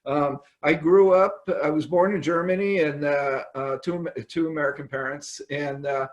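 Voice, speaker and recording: A man's voice: fairly high pitch, steady tone, normal speed, muffled recording. An older man from California, a winemaker talking casually over a video call from his home wine cellar, rambling with many ums and uhs.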